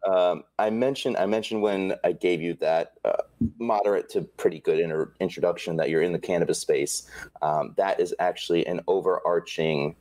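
A man with a very low voice hosts a casual interview. He keeps things loose and jokey, and asks his questions in an informal way. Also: fairly even intonation, normal speed, darkish tone, no echo. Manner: joking, informal. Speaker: interview host.

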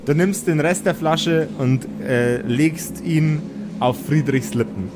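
Man, game master, slightly high pitch, improvised narration, halting repetitions, noisy ambience. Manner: halting, repeats words. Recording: clearly noisy background ambience.

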